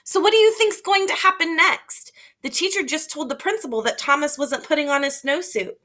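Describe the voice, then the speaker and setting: A woman reading a children's storybook aloud as a teacher, expressive and emphatic, explaining things to young listeners. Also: sits high, brisk.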